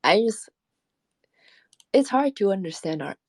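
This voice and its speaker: A woman's voice: medium pitch, very animated, measured pace, dry recording. A woman streamer chatting casually and rambling, laughing often as she talks. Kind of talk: casual late-night stream chat.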